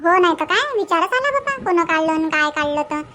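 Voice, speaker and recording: A woman's voice: very high voice, lively intonation, a little fast, slight background noise. A woman voicing a chatty, hospitable and gossipy village neighbour in a cartoon comedy, with a Varhadi Marathi accent.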